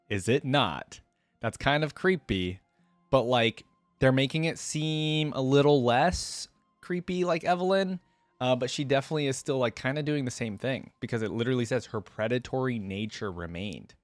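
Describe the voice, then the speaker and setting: A man's voice: mid-range voice, dry recording. A man hosting a reaction video in a casual, conversational way, thinking aloud and reading aloud.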